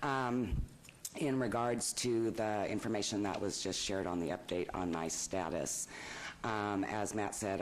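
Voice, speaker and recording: A man with a low, quiet voice speaking hesitantly with many ums as he appeals a fine at a city council hearing. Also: a little hiss or hum, poor audio. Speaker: property owner appealing a fine. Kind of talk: public testimony.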